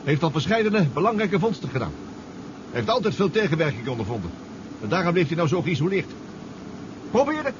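A man's voice: on the high side, very animated, fairly quick, pauses often. A man acting a polite, eager scientist in a radio drama, explaining things a little hesitantly. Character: eager, polite scientist reuniting with an old colleague. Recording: muffled, noisy old radio recording.

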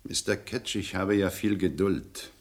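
Low-voiced stern police inspector. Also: male voice, unhurried. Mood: restrained irritation. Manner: formal, probing, controlled. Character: stern, patient, insistent male police inspector. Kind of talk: German radio crime play acting.

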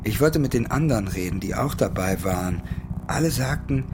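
An actor playing a traumatized, sleepless young soldier who confides haltingly and repeats his words in a radio drama monologue. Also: mid-range voice, lively intonation, close to an everyday voice. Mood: agitated, weary. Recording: clear background noise and ambience.

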